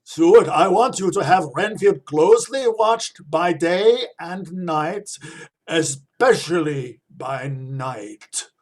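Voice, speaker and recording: An actor in a radio play voicing a grave, learned professor, measured and ominous. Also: male voice, fairly high pitch, expressive. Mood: grave warning.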